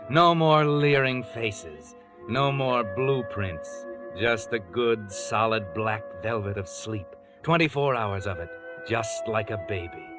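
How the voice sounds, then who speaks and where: Sardonic mystery narrator over loud music. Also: male voice, on the low side. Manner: sardonic, addresses the character directly. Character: sinister all-knowing male narrator taunting the guilty man. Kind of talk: 1940s radio mystery narration. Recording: 1940s radio broadcast, loud musical score. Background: dramatic music.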